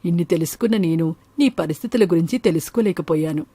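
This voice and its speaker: A kindly, practical countrywoman from a cartoon moral tale, voiced by a woman storyteller. Her tone is dark and rather low, in a dry recording. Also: quick pace, slightly rough, dry recording. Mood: earnest. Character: kind, practical village woman who owns a banana grove. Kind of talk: animated moral story acting.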